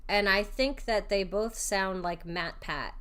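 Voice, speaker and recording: A woman's voice: mid-range voice, measured pace, a bit bright, clean tone. A young woman streaming a video game, giving casual, joking commentary with slangy, teasing reactions. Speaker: vtuber streamer playing a game.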